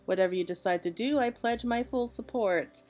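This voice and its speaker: A woman's voice: fairly high pitch, moderate pace, a little mellow, lightly acted. Woman reading as formal, refined merchant chairwoman. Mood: fond, encouraging. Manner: formal, eloquent. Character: refined, supportive merchant chairwoman in fantasy game.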